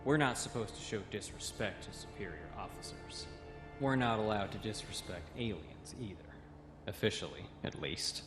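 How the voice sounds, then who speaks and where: A man's voice: lowish voice, animated, normal speed, lightly acted. An actor playing a wary young male cadet who explains things in an audio comic drama. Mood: guarded. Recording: roomy echo, music behind.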